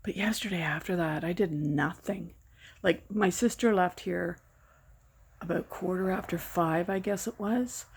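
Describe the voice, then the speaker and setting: Woman in her sixties, hoarse, rambling home chat, low-ish voice. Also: unhurried. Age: 60s. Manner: rambling, self-deprecating, chuckles often. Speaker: vlogger. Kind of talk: casual off-camera chat. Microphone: camera mic, speaking from behind the camera.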